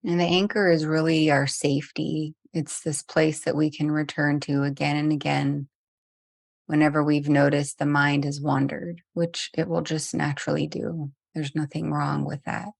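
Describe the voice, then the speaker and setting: Low, gently creaky woman guiding meditation. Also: little pitch movement, dry recording, studio quality. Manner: gentle, unhurried with long pauses. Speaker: meditation teacher. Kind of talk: guided meditation.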